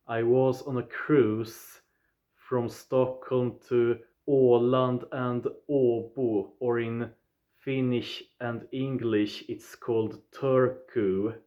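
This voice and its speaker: A man of twenty-five with a Swedish accent, talking straight to his viewers in a plain, deliberate way, often opening with "yeah.". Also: mid-range voice, muffled recording. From southern Sweden. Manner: deliberate, plain phrasing, starts points with "yeah". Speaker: vlogger. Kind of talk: casual personal recap to viewers.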